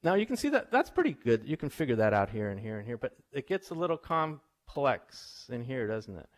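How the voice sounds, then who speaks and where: A middle-aged man lecturing to a live audience in a relaxed, anecdotal way. He wanders through stories, restarts his sentences and keeps checking in with listeners. His voice sits at an ordinary, mid-range pitch for a man. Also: a little fast. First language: English. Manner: chatty, anecdotal, asks the audience questions. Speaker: visiting professor giving a talk.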